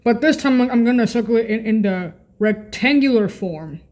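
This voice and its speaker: A woman's voice: a little high, expressive, normal speed, a little mellow. A woman giving an informal, unscripted on-screen walkthrough, hesitant and often repeating and correcting herself. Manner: frequent throat clearing. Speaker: video creator and presenter.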